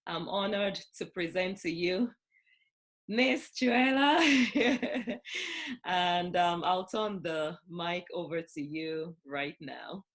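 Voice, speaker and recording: A woman hosting an online interview, introducing her guest in an earnest, encouraging way, with soft fillers, over a video call. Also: fairly low pitch, slow. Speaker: host.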